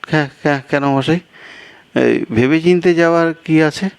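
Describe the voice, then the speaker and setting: A man's voice: a little high, normal speed, creaky, clear, resonant tone, no echo, voice pushed up. A man voicing a weary, anxious, polite traveller in a storytelling piece, fretful, hesitant and plaintive. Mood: defensive. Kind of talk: acted storytelling dialogue.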